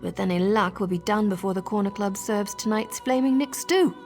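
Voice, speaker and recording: A woman's voice: medium pitch, brisk, darkish tone, big-room sound, soft background music, natural voice. An actress voicing a wry, roguish adventurer woman with a sordid past in a video game, sly, teasing and conversational. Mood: wry, confiding.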